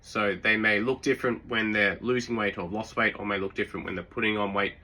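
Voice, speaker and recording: A man with a sore throat giving relaxed, rambling fitness advice, full of fillers and restarts. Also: lowish voice, a little fast. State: sore throat, occasional coughs. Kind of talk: casual advice talk.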